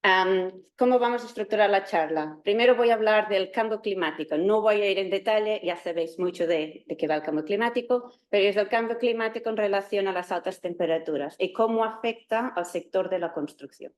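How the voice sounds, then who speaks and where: A woman's voice: mid-range voice, a little fast, few pauses. A woman from Catalonia in her forties, a native Catalan speaker, giving a university talk with some fillers and restarts. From Catalonia, Spain. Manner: self-introducing, explanatory, with fillers and restarts. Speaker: university lecturer. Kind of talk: academic talk to students.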